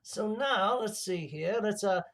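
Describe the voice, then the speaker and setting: Man acting as a melodramatic villain show host, high-pitched, slow and halting, gloating, comedy livestream. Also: lively intonation, a bit bright. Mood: rambling, playful. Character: theatrical male mad villain host plotting laser revenge. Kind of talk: rambling in-character horror-comedy livestream.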